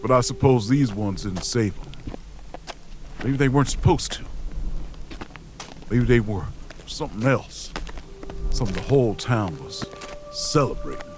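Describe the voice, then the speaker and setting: A man acting in an audio drama as a grave, weary wanderer, narrating in halting, brooding short phrases. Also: mid-range voice, lively intonation, darkish tone, a little hiss or hum, lightly acted. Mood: brooding, haunted. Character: grave, weary wanderer recounting a frozen ordeal.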